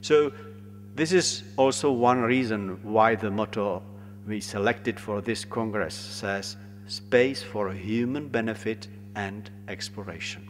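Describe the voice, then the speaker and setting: A man with a Czech accent speaks earnestly at a congress opening, his voice on the low side. His phrasing is slightly non-native. The podium mic carries into a long hall reverb with a faint hum underneath. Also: slow pace. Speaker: local congress organizer. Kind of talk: formal ceremonial speech. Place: large conference hall.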